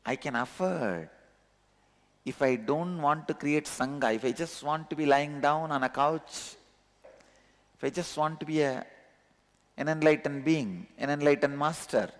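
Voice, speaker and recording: A man with a thick Tamil accent delivers a spiritual discourse in a slow, unhurried rhythm, leaning on key words and repeating them with earnest emphasis. His voice sits a touch high for a man and rings out with the long reverb of a large hall. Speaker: spiritual teacher.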